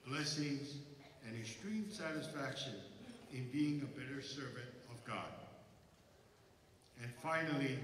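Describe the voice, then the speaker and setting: A man's voice: mid-range voice, distant mic, a little hiss or hum. Older man speaking in an echoey hall. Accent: Hawaii. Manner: measured, read from a lectern, phrase by phrase. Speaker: school president. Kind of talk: formal commencement address. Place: large concert hall. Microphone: lectern mic.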